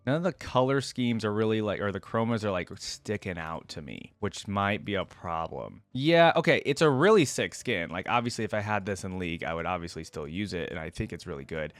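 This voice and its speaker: A man's voice: on the low side, sing-song, brisk, few pauses, dry recording. A man giving casual reaction commentary as a video host, thinking aloud in informal, slangy language.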